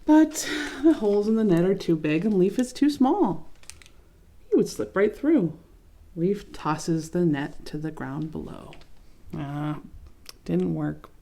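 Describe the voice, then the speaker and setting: A young adult woman reading a picture book aloud to children, gently commenting and asking them questions. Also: on the low side, expressive, slow. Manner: gentle, asks listeners questions, adds comments. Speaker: children's story reader.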